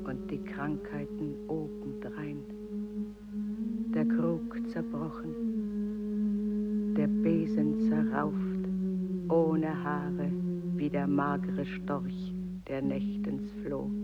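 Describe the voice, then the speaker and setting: A woman's voice: mid-range voice, very slow. An actress playing an old village woman who chants bitter memories of hardship in a poetic radio play. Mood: bitter, subdued. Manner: incantatory, stark. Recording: phone-like thin sound, loud music behind.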